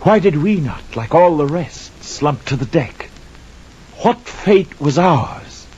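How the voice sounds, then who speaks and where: A man's voice: a little high, expressive, slow, dark tone, husky, full character voice. An actor playing a guilt-haunted sailor who narrates his cursed voyage in anguished, poetic radio drama style. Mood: bleak, haunted. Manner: theatrical, poetic, anguished declaiming. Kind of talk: 1950s radio drama acting. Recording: muffled vintage radio recording, faint noise, rain.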